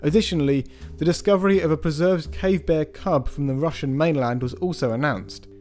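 A man's voice: on the high side, fairly quick, darkish tone, soft background music. Man reading science news voice-over. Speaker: news presenter. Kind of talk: scripted science news narration.

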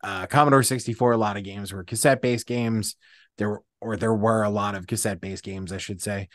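A man's voice: fairly low pitch, fairly even intonation, fairly quick, a bit bright, dry recording, clean studio sound. A middle-aged man chatting casually and emphatically as co-host of a gaming show. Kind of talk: casual chat. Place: dark indoor room. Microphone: close studio mic with pop filter.

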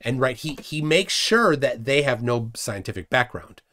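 A middle-aged man hosting a casual livestream from a room at home, scornful and animated, often trailing off quietly. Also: mid-range voice, normal speed. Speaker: host.

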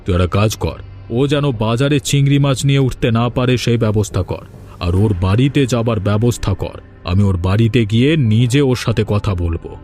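A man's voice: medium pitch, a little fast, darkish tone, close to an everyday voice. A man voicing an angry, suspicious village fish-farm boss in a cartoon, commanding and indignant, with music underneath. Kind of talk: Bengali cartoon dubbing.

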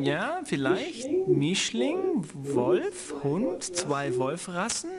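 Halting scientist with swooping intonation. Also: male voice, high-pitched, full character voice. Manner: halting, deliberating, searching for words. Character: hesitant, meticulous male lab scientist examining specimens. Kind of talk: German-dubbed video game dialogue. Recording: loud music behind the voice. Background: loud game music.

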